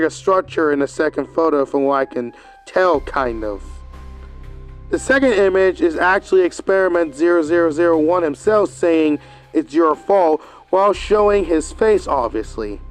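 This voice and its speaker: A man giving a casual, rambling video review, recapping with hesitant fillers and restarts. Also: high voice.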